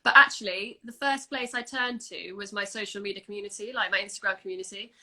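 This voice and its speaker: A woman's voice: mid-range voice, steady tone, fast talker, sharp tone, rough recording. A woman hosting an Instagram live from home, chatting in an upbeat, casual way on a phone or laptop. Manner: chatty and upbeat, full of everyday British phrases. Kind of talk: casual video chat. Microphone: phone or laptop for a livestream.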